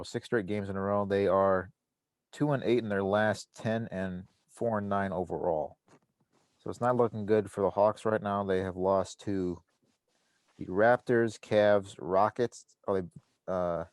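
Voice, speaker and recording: A young man co-hosting a casual sports podcast, easygoing and quick to laugh, running through stats loosely. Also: fairly low pitch, no echo. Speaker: podcast co-host.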